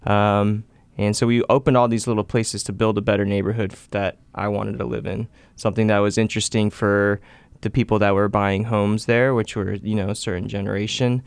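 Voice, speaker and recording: A man from Taiwan, a chef and restaurant owner, talking about his restaurants in a relaxed, plain-spoken way as a guest on a radio show. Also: on the low side, brisk, gravelly, smooth, no echo. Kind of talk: casual interview.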